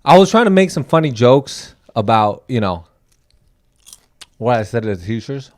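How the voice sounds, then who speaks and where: A man's voice: mid-range voice, sing-song, normal speed. Podcast co-host, young man from Taiwan, rambling storyteller, mid-range voice. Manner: rambling storyteller, acts out quotes. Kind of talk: casual comedic chat.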